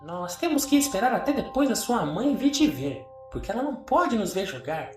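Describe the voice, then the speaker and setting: A man voicing a small wide-mouthed creature in a horror story, a childlike, secretive imaginary friend with a coaxing, pitched-up, echoing voice. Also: very animated, normal speed, darkish tone. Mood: conspiratorial. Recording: echoey, distant voice over music.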